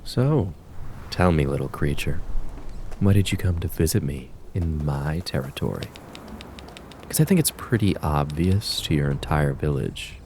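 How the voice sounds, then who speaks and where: A man voicing a menacing, mocking kitsune fox spirit in an ASMR roleplay, taunting and theatrical with a drawn-out predatory purr. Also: rumbling low, darkish tone, a little hiss or hum, exaggerated voice.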